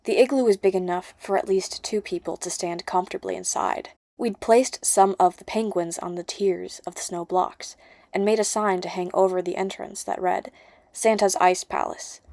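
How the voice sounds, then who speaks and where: Female amateur audiobook narrator, medium pitch, scripted first-person storytelling, close dry sound. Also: fairly even intonation, moderate pace, vocal fry, darkish tone, no room sound.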